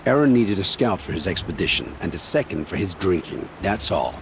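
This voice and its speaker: A guarded, weary scout who brushes people off in a gruff, low voice. He dodges and keeps things short. Steady game ambience hums underneath. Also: brisk. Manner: gruff, dodging and dismissive. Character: guarded, evasive male scout, weary and curt. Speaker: outlander scout. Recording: game audio with ambient noise.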